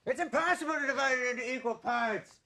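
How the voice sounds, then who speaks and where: A man acting a timid, hesitant follower in a troupe of stage players, speaking haltingly. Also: very high voice, creaky, bright, forceful tone, full character voice. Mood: puzzled.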